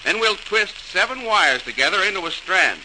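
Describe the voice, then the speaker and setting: A man's voice: high-pitched, expressive, normal speed, pushed and bright, heavily acted. An actor playing a determined, earnest male engineer in old-time radio drama style, with emphatic, stagey delivery on a noisy old recording. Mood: hearty, eager. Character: John Roebling, earnest, determined male engineer inventor rallying his neighbors. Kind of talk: 1940s radio drama acting.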